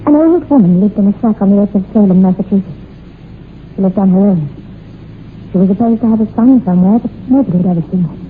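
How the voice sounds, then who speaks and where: An actress with a South African accent playing a troubled woman who recounts a dark family secret in hesitant, earnest, dramatic radio-play style. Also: mid-range voice, close to an everyday voice. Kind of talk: radio horror drama acting. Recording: muffled lo-fi old radio, faint noise.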